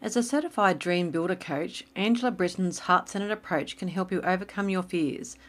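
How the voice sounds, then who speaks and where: A woman's voice: on the low side, brisk. A woman hosting an interview podcast, introducing her guest and putting questions to them.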